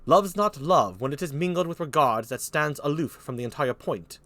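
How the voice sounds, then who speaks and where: A man's voice: on the high side, animated, normal speed, a bit bright, no room sound. Gallant stage king, acted by a man: put-on British accent, cool, reasoning, varied intonation. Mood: gallant, questioning. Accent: attempted British. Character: cool, gallant young king of France, questioning.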